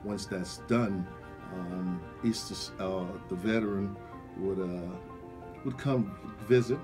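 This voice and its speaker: A man answering questions as a radio interview guest, speaking in halting, hesitant phrases. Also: low voice, music in the background. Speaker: guest, veterans program representative. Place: small radio studio. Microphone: studio microphone.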